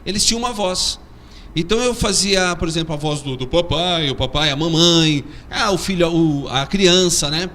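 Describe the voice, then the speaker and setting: Bright-voiced Paulistano comedian, animated. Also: male voice, on the high side, moderate pace, a little hiss or hum. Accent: Paulistano Brazilian Portuguese. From São Paulo, Brazil. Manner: animated storytelling. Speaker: comedian guest. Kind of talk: interview chat.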